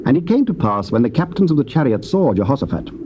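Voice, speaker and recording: Expressive male Bible narrator. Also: mid-range voice, quick pace. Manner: formal, storytelling. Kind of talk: scripted dramatic Bible narration. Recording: muffled, noisy old cassette.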